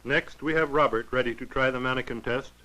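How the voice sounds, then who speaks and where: A man narrating a 1940s educational film in a formal, clinical, measured way. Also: medium pitch, fairly even intonation, sharp tone, low-quality recording.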